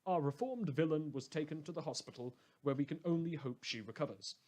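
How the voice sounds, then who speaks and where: A man's voice: fairly high pitch, mellow, natural voice. Theatrical, wry radio news host, acted by a man. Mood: lurid, dramatic. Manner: dramatic, florid, drily wry, deliberate emphasis. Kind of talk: scripted fictional community radio news monologue.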